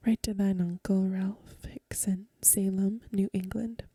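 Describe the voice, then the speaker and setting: A woman reading a Victorian story for ASMR in a hushed, gentle, breathy whisper, unhurried and close to the microphone. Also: medium pitch, level tone, no room sound. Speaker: ASMR reader.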